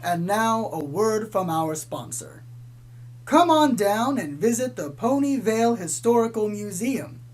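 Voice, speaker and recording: A woman playing a calm small-town pony radio host in an audio drama, reporting eerie doom in a measured, deadpan news style. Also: medium pitch. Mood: smooth announcing. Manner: measured, deadpan about sinister things. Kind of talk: scripted spoof community radio news narration.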